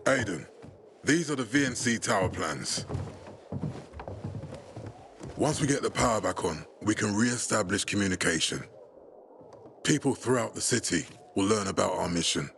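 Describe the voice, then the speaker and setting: A stern, hot-tempered military commander giving curt orders in a fairly low voice. Clear noise sits behind him in the game audio. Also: male voice, lively intonation, moderate pace, a bit bright. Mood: commanding.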